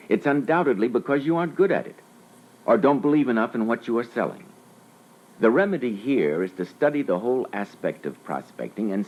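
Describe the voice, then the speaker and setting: A man's voice: fairly low pitch, breathy edge. A man speaking as a panel spokesman, giving scripted motivational instruction on a sales training record. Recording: dull, muffled old reel-to-reel tape.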